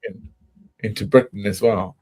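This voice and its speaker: A man in a casual panel chat, speaking haltingly and trailing off. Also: on the low side, fairly even intonation, a little mellow, breathy edge.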